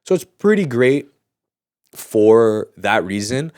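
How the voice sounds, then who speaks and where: A man's voice: mid-range voice, very animated, slow, darkish tone, smooth, dry recording, clean studio sound. A man speaking in a casual podcast chat, explaining things informally as a host. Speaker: audio mixing engineer.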